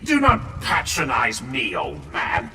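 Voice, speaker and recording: Man playing young male warrior, proud, hot-headed, indignant, animated pitch, audiobook drama. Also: slow pace, echoey room, distant mic. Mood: offended. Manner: indignant, argumentative. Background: music.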